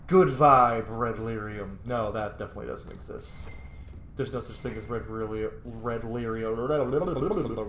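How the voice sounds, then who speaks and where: Man rambling through game commentary, roomy echo. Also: mid-range voice. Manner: playful, swearing, rambling asides. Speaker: let's play streamer. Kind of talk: casual gameplay commentary. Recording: roomy echo, faint background noise.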